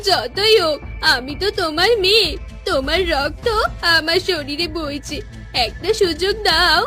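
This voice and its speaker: Very high, bright pleading daughter woman acting. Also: measured pace, soft background music, heavily acted. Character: pleading young outcast daughter. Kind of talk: cartoon voice acting.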